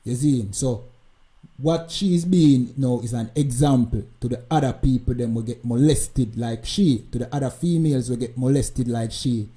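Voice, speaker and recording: A man's voice: mid-range voice, normal speed, mellow. A Jamaican man hosting a live stream, talking emphatically and repeating "you know what I mean" as he comments.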